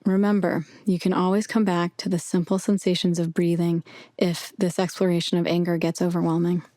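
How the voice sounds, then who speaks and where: A woman narrating a guided meditation with soothing, measured, gentle instruction. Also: fairly low pitch, level tone, dry recording, clean studio sound.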